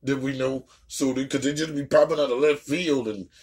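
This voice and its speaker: A man's voice: medium pitch, fairly even intonation, moderate pace, a little mellow. A man chatting casually as a reaction host, blunt, slangy and profane, often repeating words for emphasis. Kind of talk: casual chat reacting to a story.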